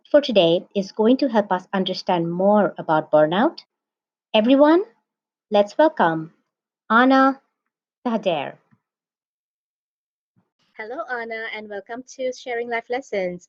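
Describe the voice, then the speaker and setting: A woman podcast host speaks in a measured, deliberate way. Her voice sits at a middle pitch for a woman. The recording is slightly dull and lo-fi. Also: animated. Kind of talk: scripted podcast intro and interview. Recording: dull lo-fi sound.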